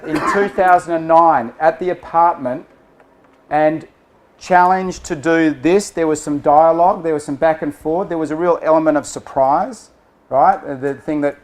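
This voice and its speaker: A man's voice: fairly high pitch, normal speed. A man running a storytelling workshop for a group, speaking casually and often checking in with "okay?" and "right?". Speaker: workshop facilitator. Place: room with a group of people.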